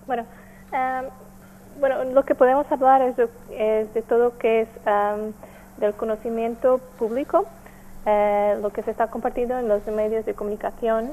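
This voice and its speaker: A woman with a Portuguese accent and a soft, mellow, fairly high voice explains her organization's work in an interview. She speaks carefully and haltingly, searching for words as a native Portuguese speaker. Light noise sits behind her. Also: level tone, poor audio. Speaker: NGO representative being interviewed. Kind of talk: explanatory radio interview answers.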